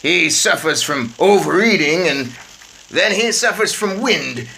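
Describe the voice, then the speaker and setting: A woman voicing a loud, pompous, blustering middle-aged man. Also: lowish voice, expressive, measured pace, gravelly, slight background noise, pitched above the performer's own voice, full character voice, low-quality recording. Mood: angry blustering.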